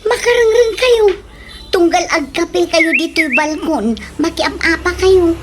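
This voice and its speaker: Very high, girlish daughter acted by a woman. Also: measured pace, noisy background. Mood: reproachful. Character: young daughter reproaching her quarrelling parents. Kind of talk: comedic radio drama acting. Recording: radio drama track with music.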